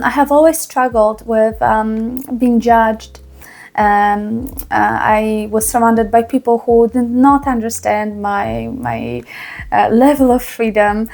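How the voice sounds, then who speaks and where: A woman with a Polish accent giving a reflective personal testimonial to camera, speaking earnestly with thoughtful, halting pauses. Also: fairly high pitch, a little mellow. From small town in Poland. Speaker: vlogger.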